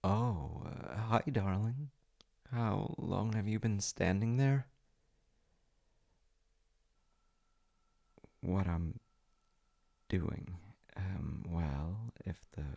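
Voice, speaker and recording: A man playing a polite, eager wizard fiance in an ASMR audio roleplay, with theatrical delivery and soft muttered asides. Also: low voice, vocal fry, husky, exaggerated voice. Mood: flustered, reassuring. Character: eager, secretly magical wizard fiance running an enchantment business.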